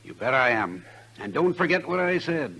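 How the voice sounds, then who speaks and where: An actor playing an assured American small-town banker in a 1950s radio western, brusque and emphatic. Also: male voice, mid-range voice, very animated, normal speed, creaky, bright, forceful tone, slightly rough, exaggerated voice, rough recording. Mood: insistent.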